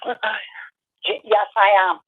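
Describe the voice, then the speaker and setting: Tinny narrowband phone line, woman caller, mid-pitched, brief agreeable answers, casual. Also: steady tone, measured pace. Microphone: telephone.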